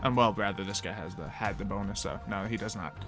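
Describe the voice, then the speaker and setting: A man's voice: fairly low pitch, quick pace. A man running a tabletop roleplaying game as game master, narrating turns and rulings casually. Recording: faint music underneath. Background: faint background music.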